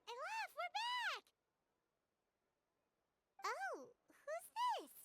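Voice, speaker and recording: A woman voicing a tiny floating fairy-like companion who chatters excitedly and talks about herself in the third person. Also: very high-pitched, extremely slow, full character voice. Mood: cheerful. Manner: bouncy, indignant outbursts. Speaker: game companion character. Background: game background music.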